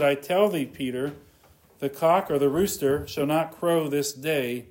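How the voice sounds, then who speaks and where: A man's voice: a little high, darkish tone, poor audio. A man preaching an earnest sermon, reading scripture and retelling it in his own words. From not from Scotland.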